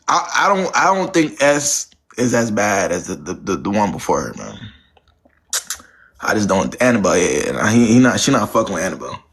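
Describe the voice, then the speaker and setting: A man's voice: on the low side, big pitch swings, brisk, a bit bright. Blunt, profane man lecturing his viewers. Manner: blunt, repetitive, preachy and profane. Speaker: live stream host giving dating advice. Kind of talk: casual live stream advice.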